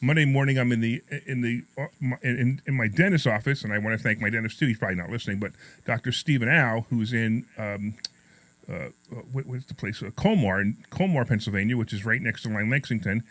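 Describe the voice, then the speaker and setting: A man's voice: mid-range voice, a little fast, a bit bright, no echo. Middle-aged male radio host, New York accent, slight lisp; casual, self-mocking, rambling delivery, close dry studio sound. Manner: rambling, repetitive, self-deprecating joking. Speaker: radio talk show host. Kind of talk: casual, jokey talk radio chat and anecdotes.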